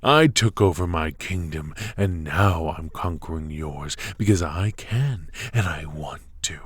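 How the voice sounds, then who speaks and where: A man playing a dark warlord sorcerer villain in an ASMR fantasy roleplay, commanding and coolly mocking, speaking in slow, silky, formal taunts with a husky, breathy voice. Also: rumbling low, no echo, exaggerated voice. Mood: indignant, proud.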